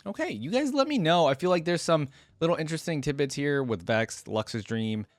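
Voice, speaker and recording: A man's voice: a little high, animated, a little fast, dry recording. A man giving casual, enthusiastic reaction commentary as a video game fan on his channel.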